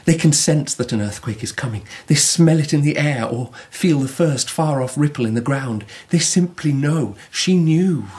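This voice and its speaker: An actor playing a serenely assured emperor of Japan in a radio drama, speaking in measured, deliberate phrases. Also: male voice, fairly high pitch, lively intonation, a little mellow, lightly acted. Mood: awed certainty.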